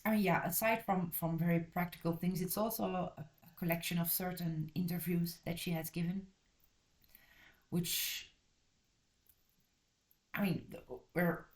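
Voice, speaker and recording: A woman from Belgium talks through a book in a loose, casual review, her voice sitting a little low. She restarts and corrects herself often and drifts into tangents, and her manner is blunt and direct. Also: steady tone, unhurried, pauses often. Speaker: channel host reviewing a book.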